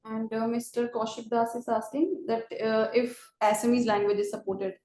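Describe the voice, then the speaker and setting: Mellow woman moderating, roomy echo. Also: a little high, level tone, moderate pace. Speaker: webinar moderator. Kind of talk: reading out audience questions. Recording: roomy, echoey webinar audio.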